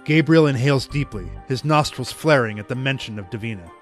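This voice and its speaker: A man's voice: medium pitch, fairly quick. Male narrator, dramatic scripted storytelling for audio drama, light music underneath.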